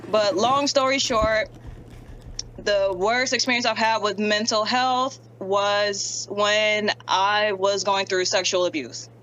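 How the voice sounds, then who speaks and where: A woman's voice: medium pitch, poor audio. Frank young woman speaking candidly. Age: early 20s. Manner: frank, plain-spoken, steady. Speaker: guest, streamer. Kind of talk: candid interview talk.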